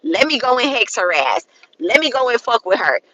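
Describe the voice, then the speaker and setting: A woman's voice: fairly high pitch, big pitch swings, moderate pace, sharp tone, breathy edge, highs cut off. A woman in her thirties gives a casual spiritual talk to her viewers. She rambles, repeats herself, tags lines with "okay?" and swears freely. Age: 30s. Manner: frank and profane, colloquial phrasing. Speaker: spiritual content creator.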